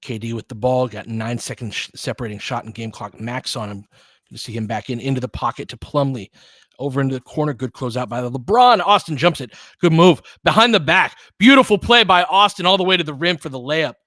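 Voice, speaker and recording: A man's voice: medium pitch, a little fast, a bit bright, dry recording. A man calling live basketball play-by-play with casual analysis, informal and openly rooting for his team like a fan. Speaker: play-by-play commentator and host.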